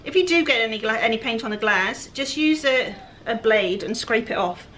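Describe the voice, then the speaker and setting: A woman with a raspy, croaky voice that sits slightly high for her. She talks in a chatty, breathless way as she works, her sentences often fading off at the end. There is clear background noise behind her. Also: animated, moderate pace. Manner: chatty, often trails off quietly. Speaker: furniture flipper. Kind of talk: casual how-to chat while working.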